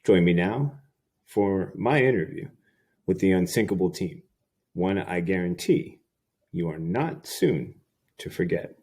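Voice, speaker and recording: A man's voice: low-pitched, lively intonation, a little slow, pauses often, darkish tone. A man hosting a podcast, speaking in a wordy, effusive way as he introduces and chats with guests. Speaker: podcast host. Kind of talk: casual interview chat and scripted introduction. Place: remote group call recording.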